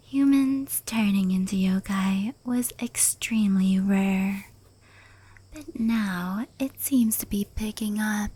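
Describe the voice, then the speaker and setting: A woman playing a proud, affectionate fox spirit in an intimate ASMR roleplay, speaking earnestly in a soft, breathy whisper. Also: mid-range voice, low-quality recording. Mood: musing, proud.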